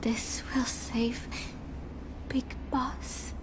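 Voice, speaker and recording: An actress playing a frail, broken captive woman in a video game cutscene, halting, weak and breathy. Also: a little high, level tone, noisy background. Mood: weary, hesitant.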